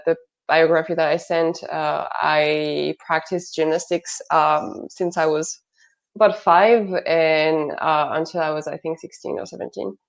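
A woman's voice: sits low, muffled recording. A young woman with a French accent, a guest in an interview, talking with many fillers and restarts. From northern France. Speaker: guest, product manager. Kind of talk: interview conversation.

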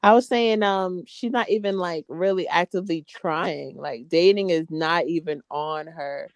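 A woman's voice: fairly low pitch, measured pace, dry recording. A woman in her late twenties co-hosting a casual, laughing chat about a TV show, using slang. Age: late 20s. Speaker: podcast co-host.

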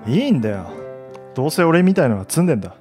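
A man's voice: a little high, sing-song, racing, darkish tone, faint music behind. Man playing a jaded, sulky male college student. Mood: sulky resigned. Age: young adult. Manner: blunt, offhand, cynical. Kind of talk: radio drama acting.

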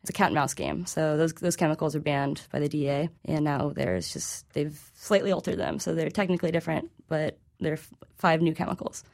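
A woman's voice: deep voice, lively intonation, fairly quick, gravelly, a little mellow. A woman reporter speaking as an interview guest, explaining informally in a hesitant, conversational way.

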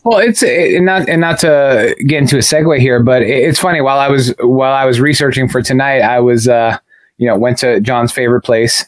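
A man of medium pitch chats as a podcast co-host, with very little room sound. He rambles discursively and casually, checking that others follow. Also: no echo.

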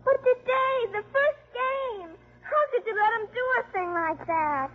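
An actress playing a blunt, slangy young tomboy daughter, pleading indignantly in a 1950s radio drama. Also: female voice, very high-pitched, lively intonation, measured pace. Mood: shocked, indignant. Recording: muffled vintage 1950s radio broadcast.

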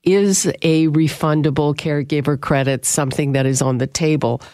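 A woman's voice: low voice, steady tone, moderate pace, warm tone, dry recording, clean studio sound. Woman radio host asking pointed questions. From Canada. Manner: direct, probing questions. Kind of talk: radio interview.

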